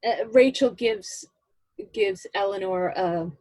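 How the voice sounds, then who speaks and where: Casting: woman, middle-aged, husky voice, halting casual talker discussing creative work, dry sound. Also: medium pitch, mellow, no room sound. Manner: halting, thinking aloud. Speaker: voice actor in a serialized audio drama. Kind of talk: casual video-call discussion of characters. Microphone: video call.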